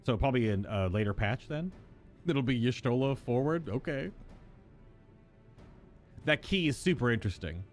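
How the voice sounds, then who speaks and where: A man's voice: mid-range voice, animated, moderate pace, pauses often, faint music behind. A man giving casual live-stream commentary on a game's story, chatting and speculating in asides between lines he reads aloud.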